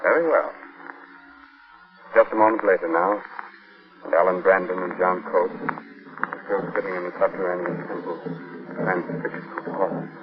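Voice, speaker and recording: A man hosting and announcing a 1940s radio show in a theatrical, measured, sly manner. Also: low voice, steady tone, music in the background. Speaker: radio host and sponsor announcer. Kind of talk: 1940s radio scripted narration. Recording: tinny, band-limited 1940s radio recording.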